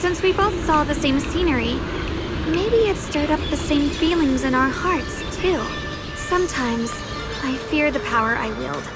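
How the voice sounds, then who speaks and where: An actress voicing an earnest, gentle young female swordmaster in a video game, with loud music behind her. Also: sits high, unhurried. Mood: pensive, uneasy.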